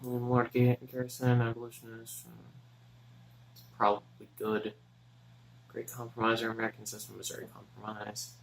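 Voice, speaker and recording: A young man from Texas, a student, mutters to himself in a halting, casual way as he works through his notes. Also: medium pitch, completely flat delivery, vocal fry, slight background noise, rough recording. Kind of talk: casual study vlog, thinking aloud.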